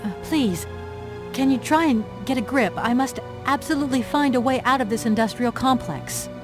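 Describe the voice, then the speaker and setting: Game heroine actress: young woman, fairly high voice, earnest, composed but firm, clear music underneath. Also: normal speed, close to an everyday voice. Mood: exasperated. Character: determined, polite young woman adventurer, exasperated but composed. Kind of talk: video game voice acting. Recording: music behind the voice.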